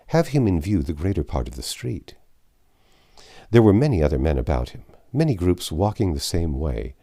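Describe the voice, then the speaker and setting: A man with a deep voice narrates a classic novel. His tone is dark and mellow, and his intonation moves expressively through the scripted prose as an audiobook narrator.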